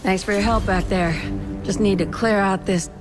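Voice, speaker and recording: An actress playing a brisk, determined young woman pilot with a breezy, informal manner in a video game. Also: mid-range voice, steady tone, close to an everyday voice. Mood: friendly, busy. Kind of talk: cinematic game voice acting. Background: music.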